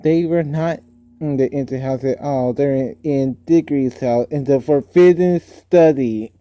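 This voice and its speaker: Mellow, dark-voiced man reading aloud. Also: medium pitch, measured pace, no echo. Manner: informal, stumbles over words. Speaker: amateur book reader. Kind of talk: read-aloud storytelling with casual asides.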